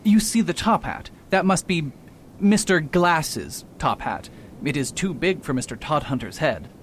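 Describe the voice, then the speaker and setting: A man's voice: on the high side, lively intonation, measured pace, a little hiss or hum. A man playing a confident detective doctor in an amateur radio drama, laying out precise deductions. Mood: self-assured. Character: confident middle-aged male detective doctor.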